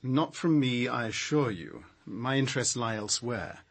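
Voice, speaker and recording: An actor playing a prickly, sardonic scientist in a radio crime drama, speaking in a dry, articulate way. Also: male voice, mid-range voice, normal speed, natural voice. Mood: defiant, dry. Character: prickly, sardonic male power station scientist.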